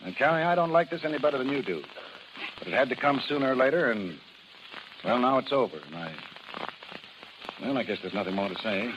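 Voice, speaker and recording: An actor playing a gruff, bossy carnival barker and stern father with slangy, commanding American speech, in 1930s radio style. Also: mid-range voice, lively intonation, a little fast, pauses often, dull audio, lightly acted. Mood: uneasy, firm. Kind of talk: 1930s radio drama acting. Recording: noisy, hissy 1930s radio recording.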